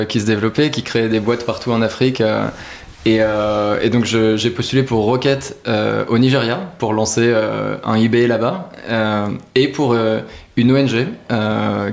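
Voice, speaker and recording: A man in his thirties from northern France, answering interview questions in a halting way as he thinks aloud. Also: lowish voice, fairly even intonation, creaky. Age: 30s. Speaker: guest, operations director. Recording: roomy echo.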